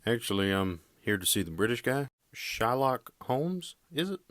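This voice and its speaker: An actor playing a stern Old West lawman with a slow, laconic drawl. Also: medium pitch, very animated, a bit bright, dry recording, studio quality.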